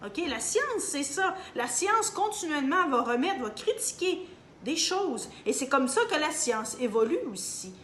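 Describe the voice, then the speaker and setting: A woman's voice: high-pitched, big pitch swings, unhurried, a bit bright. Canadian French woman, echoey room. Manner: emphatic, hesitant with many fillers, addresses viewers directly. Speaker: video commentator. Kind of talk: opinion monologue to camera. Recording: echoey room, faint background hum.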